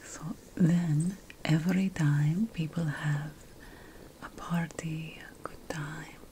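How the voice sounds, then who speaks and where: ASMR witch roleplay: woman, unvoiced breathy whisper, very unhurried, playful potion-master air, faint background noise. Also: deep voice, steady tone, warm tone. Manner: slow, deliberate, pausing between phrases.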